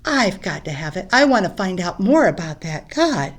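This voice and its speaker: A woman's voice: fairly low pitch, sing-song, normal speed, pitched below the performer's own voice. A woman voicing a curious schoolboy who earnestly insists on what he wants. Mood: determined.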